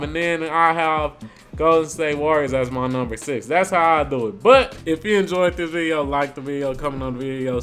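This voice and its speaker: A young man with a sore throat giving laid-back, slangy sports commentary and thinking out loud as a video host. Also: fairly high pitch. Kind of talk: casual sports ranking commentary.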